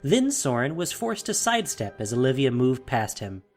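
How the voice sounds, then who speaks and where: Male narrator, dramatic fantasy storytelling, animated intonation, measured delivery, faint music underneath. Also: medium pitch.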